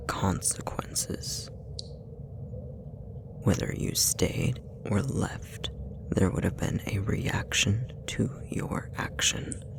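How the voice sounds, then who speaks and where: A man voicing a possessive, manipulative demon in an intimate ASMR roleplay, whispering with a gravelly fry in a deliberate, taunting way. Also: deep voice, full character voice. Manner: slow, deliberate, taunting, condescending. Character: possessive, manipulative male puppetry demon, coldly menacing.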